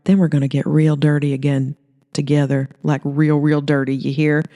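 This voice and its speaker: A woman's voice: low-pitched, level tone, normal speed, dark tone. Woman putting on a feisty, flirty small-town gal.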